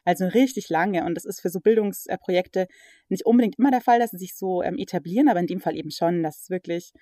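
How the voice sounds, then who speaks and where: A woman guest in a casual interview, talking in a relaxed, rambling way with many fillers. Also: lowish voice, fast, no room sound, clean studio sound. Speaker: guest, magazine editor.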